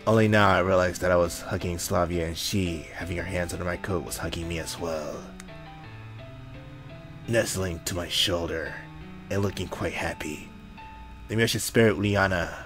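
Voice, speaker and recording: A man with a low voice reads a visual novel aloud as a gaming host. He is jokey and casual, stumbling now and then and correcting himself. Music plays softly behind him. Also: fairly even intonation, normal speed. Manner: jokey, slips and corrects himself while reading. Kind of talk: reading a visual novel aloud with joking asides. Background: background music.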